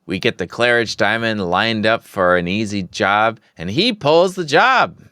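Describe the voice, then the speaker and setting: A man's voice: on the low side, expressive, measured pace, sharp tone, smooth, no echo, clean studio sound, pitched above the performer's own voice. Man putting on a blustering comic-book gangster boss. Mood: indignant. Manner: tough-guy bluster.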